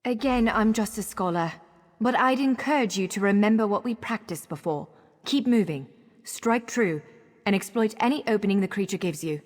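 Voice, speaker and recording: A woman's voice: mid-range voice, moderate pace, natural voice. A woman playing an earnest, encouraging female elf scholar who guides a hero in a fantasy video game. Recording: long hall reverb, faint music.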